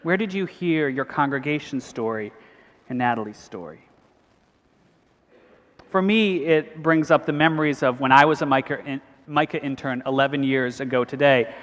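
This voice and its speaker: A priest, giving an earnest, measured convention speech. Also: male voice, mid-range voice. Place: large cathedral. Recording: long hall reverb.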